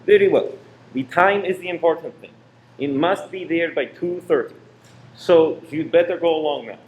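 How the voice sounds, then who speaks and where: A man's voice: fairly high pitch, moderate pace, a little mellow, close to an everyday voice. A man playing a tense, secretive married cinema owner, insistent and controlling, in a radio play. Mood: urgent coaxing. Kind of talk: amateur radio play acting. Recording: roomy echo with faint noise.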